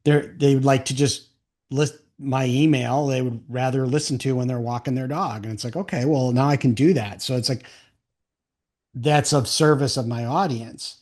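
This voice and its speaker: A man with an average-pitched voice speaks as a podcast host. His delivery is conversational and plain-spoken, with an explanatory bent, as in an informal business interview. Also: steady tone, moderate pace, a little mellow.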